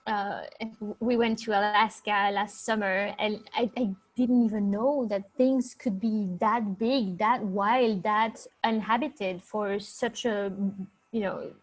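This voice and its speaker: A woman's voice: mid-range voice, darkish tone, low-quality recording. A woman with a French accent, chatting casually in an interview, hesitant and full of fillers, laughing easily. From France. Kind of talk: casual video-call interview.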